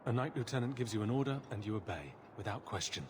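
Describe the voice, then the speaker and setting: An actor playing a defiant, disgruntled knight recruit in a video game, blunt and indignant in manner. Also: male voice, fairly low pitch, lively intonation, fast, lightly acted. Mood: bitter. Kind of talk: video game dialogue acting.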